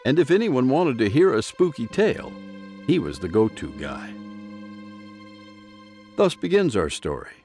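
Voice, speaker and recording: A man's voice: mid-range voice, sing-song, a little slow, pauses often, a little mellow, soft background music. A man narrating a scripted audio drama in a theatrical, folksy storybook style.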